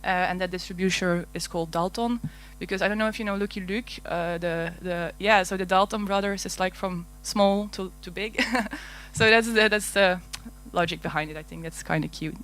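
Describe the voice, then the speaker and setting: A woman's voice: on the low side, fairly even intonation, moderate pace, few pauses, a bit bright, rough recording. Nervous Flemish woman presenting at a conference. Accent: Flemish Dutch. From Belgium. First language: Dutch. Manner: nervous, hesitant, many fillers, light laughs. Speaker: conference speaker. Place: large darkened auditorium.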